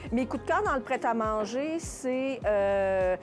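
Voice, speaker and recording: A woman's voice: fairly high pitch, lively intonation. Hesitant Quebec woman, music behind. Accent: Quebec French. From Contrecœur, Quebec, Canada. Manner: hesitant, halting, searching for words. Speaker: small business owner being interviewed. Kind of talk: casual, unscripted interview answers.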